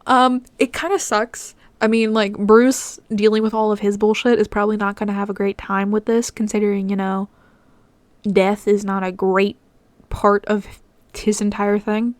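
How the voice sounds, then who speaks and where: A woman's voice: mid-range voice, level tone, normal speed, no echo. A woman who reads fan fiction as narration and chats casually to listeners as the host. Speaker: podfic reader and channel host.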